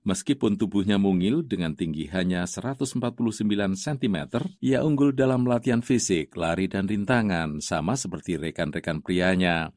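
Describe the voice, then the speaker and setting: A male reporter narrates a scripted radio news feature. His voice sits low, and the recording is dry, with very little room sound. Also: expressive, moderate pace, darkish tone, no room sound.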